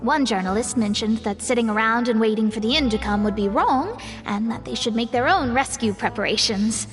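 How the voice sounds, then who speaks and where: Woman voicing young female game character, raised pitch, self-assured, poised, articulate, background music. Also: brisk, natural voice. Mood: composed, upbeat. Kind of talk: video game voice acting.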